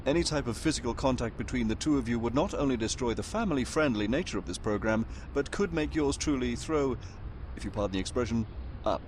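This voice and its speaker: A man's voice: mid-range voice, animated, fast talker, a little mellow, a little hiss or hum, close to an everyday voice. A man playing a very proper British narrator in a comedy audio drama, dryly pompous and wordy. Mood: droll, prim.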